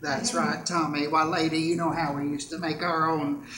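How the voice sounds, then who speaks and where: Man playing high-voiced folksy radio sidekick. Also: little pitch movement, moderate pace, sharp tone. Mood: chummy, eager. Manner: folksy, agreeable. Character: chummy male radio ad sidekick, folksy and eager. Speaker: old-time variety show sidekick in sponsor ads. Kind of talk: parody of old-time radio sponsor ad. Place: live on a theatre stage. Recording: roomy live stage with audience. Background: audience.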